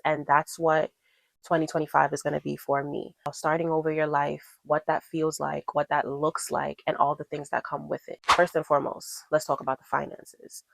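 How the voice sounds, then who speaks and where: A woman's voice: sits low, gravelly, no room sound. A woman in her twenties talking casually to her viewers as a vlogger, encouraging and emphatic, repeating her points for stress. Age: 20s. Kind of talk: casual chat to camera.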